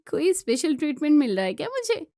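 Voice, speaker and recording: Lady playing a cheeky mocking young girlfriend visiting, high lifted voice, hindi drama story. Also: very animated, a little fast, warm tone, dry recording, studio quality. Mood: teasing. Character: teasing, mocking young female friend.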